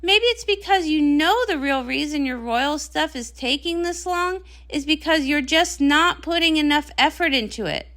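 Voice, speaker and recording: Woman acting as jealous pony pop princess, high-pitched, very animated, sarcastic, dramatic, comic read-along. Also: normal speed, hardly any pauses. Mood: scornful. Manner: indignant, sarcastic.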